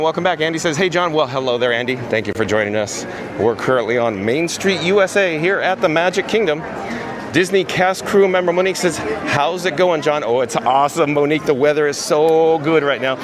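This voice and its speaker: A man with a slightly hoarse, raspy voice hosts a live outdoor stream, upbeat, greeting viewers by name and reading their chat messages aloud. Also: a little high. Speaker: livestream host. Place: outdoors at a busy theme park street at dusk. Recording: crowd and street noise behind. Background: crowd chatter and outdoor noise.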